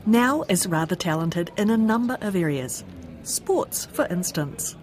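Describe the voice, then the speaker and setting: A woman's voice: on the low side, expressive, unhurried, darkish tone, slight background noise. A woman with a New Zealand accent, a radio presenter interviewing and narrating.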